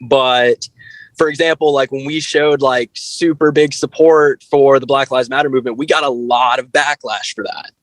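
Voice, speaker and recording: A man's voice: mid-range voice, fairly even intonation, moderate pace, dry recording, rough recording. A man speaking as an interview guest in casual podcast chat, blunt and candid, swearing freely and peppering his talk with "like". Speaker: band frontman, interview guest.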